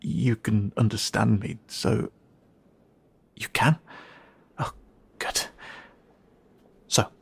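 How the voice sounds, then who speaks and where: A man's voice: on the low side, steady tone, extremely slow, gravelly, pauses often, breathy edge, exaggerated voice. A man playing a wary young male cat-person guide in a fantasy audio drama, speaking casually and hesitantly straight to the listener. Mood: unsure, searching. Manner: hesitant, casual, conversational asides.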